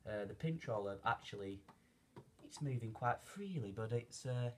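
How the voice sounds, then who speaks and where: A man's voice: medium pitch, lively intonation, moderate pace, darkish tone. A young British man chatting casually and unscripted to camera as he hosts an unboxing vlog in a living room. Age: young adult. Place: carpeted living room, indoors. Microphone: camera a short distance away. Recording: noisy home camera sound with clicks.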